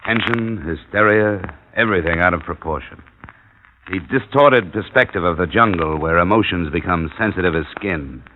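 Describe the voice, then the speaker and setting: An actor playing a tough, world-weary American investigator in 1950s radio noir, terse and measured in dialogue and narration. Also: male voice, low-pitched, lightly acted. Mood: somber narration. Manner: terse, measured, hard-boiled. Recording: muffled, noisy old radio recording.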